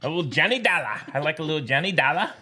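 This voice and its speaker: A man's voice: on the high side, expressive, rapid-fire, sharp tone. A man co-hosting a podcast in casual banter, exuberant and jokey, given to theatrical catchphrases. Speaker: podcast co-host.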